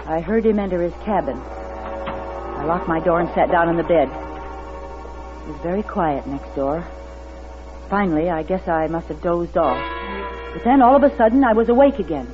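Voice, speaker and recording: A woman's voice: fairly low pitch, animated, moderate pace, long pauses, close to an everyday voice. An actress playing an anxious, wary woman motorist on the run, narrating in a dramatic 1940s radio suspense style. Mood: tense narration. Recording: dull vintage radio recording with music behind.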